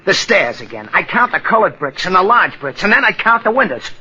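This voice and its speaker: An actor playing a jittery, wisecracking sidekick, comic and excitable with folksy slang, in an old-time radio mystery. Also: male voice, sits high, fairly quick, crowd noise. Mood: excited. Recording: dull old radio recording.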